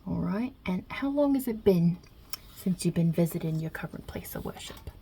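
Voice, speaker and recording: A woman's voice: lowish voice, lively intonation, moderate pace, vocal fry, mellow, a little hiss or hum. A woman playing a non-binary Italian mob boss God with a put-on New York Italian-American accent, wry and casual with muttered asides, in an ASMR roleplay. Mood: dry, teasing.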